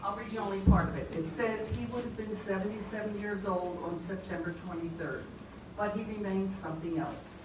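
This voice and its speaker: A woman's voice: mid-range voice, fairly even intonation, brisk, background noise. A woman in her eighties, a former choir member, chatting about her school days and reading a letter aloud to a reunion group. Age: 80s. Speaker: choir alumna. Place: church hall at a reunion. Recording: distant phone stream in an echoey church hall with a crowd.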